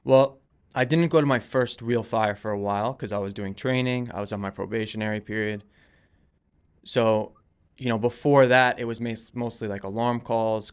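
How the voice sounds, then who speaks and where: A man in his twenties with a clean, smooth voice on the low side. He talks as a podcast guest, telling stories informally and feeling his way through phrases in a casual conversational style. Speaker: podcast guest, volunteer firefighter and police dispatcher. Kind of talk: casual conversational interview answers.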